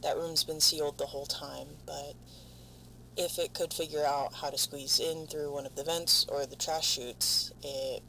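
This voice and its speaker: A woman playing a frightened, foul-mouthed stranded spaceship crew member, recording halting, weary log entries in an audio drama. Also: sits low, steady tone, pushed and bright, slight background noise, heavily acted, poor audio. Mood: fearful, resigned.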